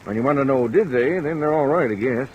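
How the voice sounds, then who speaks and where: A man's voice: mid-range voice, quick pace, highs cut off, slight background noise, close to an everyday voice. An actor playing a folksy, easygoing small-town Western lawman, rustic and plain-spoken, in an old-time radio drama. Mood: thoughtful, affable. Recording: old radio recording under light rain.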